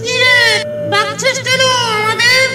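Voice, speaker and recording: A woman's voice: extremely high pitch, steady tone, unhurried, sharp tone, exaggerated voice. A woman voicing a vengeful male ghost in a cartoon who speaks in menacing threats. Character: vengeful male ghost, a dead young brother. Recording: distant voice with music behind.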